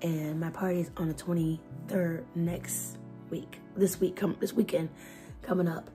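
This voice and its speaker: A young woman chatting to her phone camera at her desk in a casual vlog. She is hoarse, her voice nearly gone, and talks in a chatty, expressive way. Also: lowish voice, unhurried. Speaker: vlogger. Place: bedroom at a desk, indoors. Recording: music playing under the voice. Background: lofi music bed.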